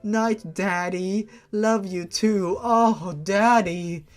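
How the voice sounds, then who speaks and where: A man voicing a cheerful, affectionate young mother with a soft, girlish manner in a story. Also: high-pitched, fairly even intonation, very slow, faint music behind, voice pushed up.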